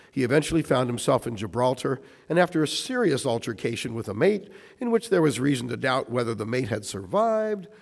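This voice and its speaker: A man with a hoarse, croaky voice reading a prepared history lecture to an audience in formal, wordy phrasing, often clearing his throat. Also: mid-range voice. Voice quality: croaky, recovering voice. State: hoarse, getting over voice loss. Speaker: speaker giving a history presentation. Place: large echoing room with a presentation screen. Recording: reverberant hall sound.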